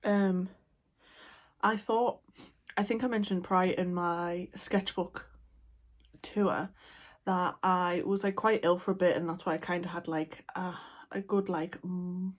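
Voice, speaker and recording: A woman chatting casually as an art vlogger at home, talking in a relaxed, rambling way into her camera. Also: lowish voice, slow, long pauses. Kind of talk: casual vlog chat. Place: at home indoors. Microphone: camera mic.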